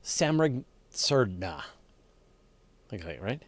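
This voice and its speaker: A man's voice: lowish voice, big pitch swings, measured pace, no echo. A man hosting a live question and answer session, explaining things off the cuff in plain examples and stressing key words. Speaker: host of a live astronomy Q&A.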